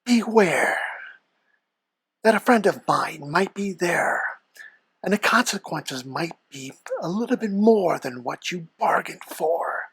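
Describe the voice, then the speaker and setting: A performer voicing the Devil as a sly, haughty old boss, formal and deliberate, husky and whispery, bantering as a podcast guest. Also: medium pitch, expressive, a bit bright, full character voice. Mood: sly, menacing. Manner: formal, deliberate, darkly threatening.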